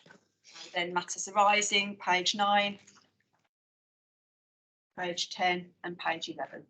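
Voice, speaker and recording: A woman with a West Midlands English accent chairs a formal committee meeting. Her voice is bright and fairly low for a woman. She moves through agenda items in a measured, procedural way, with frequent pauses and fillers. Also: steady tone, low-quality recording.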